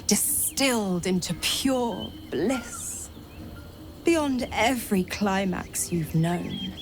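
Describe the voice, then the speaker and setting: An actress voicing a seductive, taunting fiend in a video game, theatrical and often slipping into breathy whispers. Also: female voice, lowish voice. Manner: sultry, breathy whispering, teasing. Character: seductive, taunting female fiend offering forbidden pleasures.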